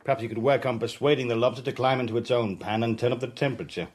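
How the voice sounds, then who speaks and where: An actor playing a sardonic young British spy in a radio drama. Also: male voice, mid-range voice, steady tone, racing, a little mellow, breathy edge, natural voice. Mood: wry.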